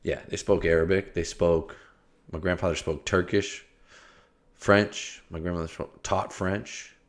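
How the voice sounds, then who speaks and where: A man's voice: low voice, fairly even intonation, a little slow. A man streaming casual commentary, joking and rambling, often trailing off, restarting and scatting nonsense syllables. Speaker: streamer reacting to a video.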